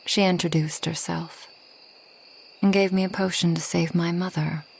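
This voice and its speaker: A woman playing a reclusive, ageless forest witch in a soft ASMR roleplay, gentle and wry, often slipping toward a whisper. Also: on the low side, fairly even intonation, normal speed, gravelly, a little hiss or hum. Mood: calm, reminiscing. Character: reclusive, centuries-old kind but proud forest witch.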